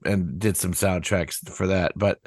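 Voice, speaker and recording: A man's voice: sits low, steady tone, measured pace, a little mellow, breathy edge, no echo, clean studio sound. An older man, a musician guest, chatting casually in an interview with lots of restarts and asides. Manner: rambling, many restarts and asides.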